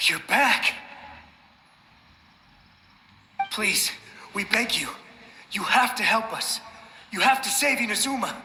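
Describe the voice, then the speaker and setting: A man's voice: high voice, pushed and bright, full character voice. A man voicing a desperate, battle-weary, loyal samurai soldier in a video game, intense and urgent. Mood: pleading. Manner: pleading, intense, breathless urgency. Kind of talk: dramatic game voice acting. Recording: echoing long reverb, noisy ambience behind.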